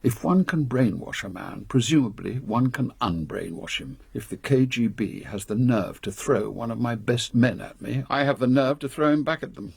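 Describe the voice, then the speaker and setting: An actor playing an authoritative older British spy chief, brisk and ruthless, with clipped, formal delivery in a radio drama. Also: male voice, medium pitch, vocal fry, darkish tone, breathy edge, dry recording. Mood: brisk, commanding.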